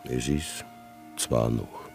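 Very deep, gravelly Austrian broadcaster. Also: male voice, extremely slow, soft background music, exaggerated voice. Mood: wry. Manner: dry, deadpan. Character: laconic, wry man, a well-known broadcaster.

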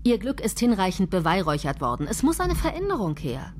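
An actress playing a decisive female head writer pitching provocative plot ideas in a radio play. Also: huge pitch swings, fairly quick, a little mellow. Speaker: head writer leading a meeting.

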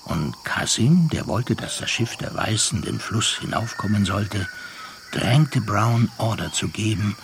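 A man narrating a literary radio play in a measured storytelling manner. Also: lowish voice, animated, vocal fry, noisy background. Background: music.

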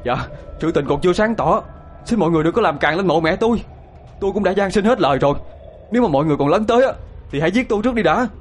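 A man's voice: sits high, expressive, very fast, faint music behind, pitched above the performer's own voice. A man voicing a desperate young husband who begs politely, then pleads in rising distress. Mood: pleading. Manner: begging, tearful, polite.

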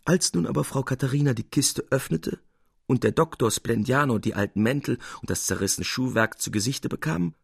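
A man narrating a literary tale expressively, with measured, theatrical emphasis. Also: medium pitch, vocal fry, breathy edge, no room sound, soft background music.

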